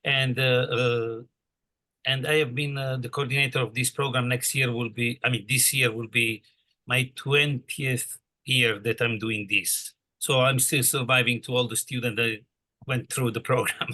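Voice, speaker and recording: A man from Italy with an Italian accent, speaking as an on-site program coordinator in a halting, friendly way with many fillers. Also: medium pitch, steady tone, pushed and bright. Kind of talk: casual info session talk.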